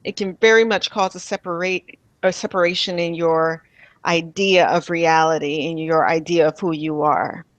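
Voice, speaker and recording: A woman from Miami being interviewed about her childhood, speaking softly and thoughtfully with pauses and light laughs. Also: lowish voice, steady tone, dry recording. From Miami, USA. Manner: soft-spoken, thoughtful, with pauses and fillers. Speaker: interviewee, spiritual healer. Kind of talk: reflective personal interview.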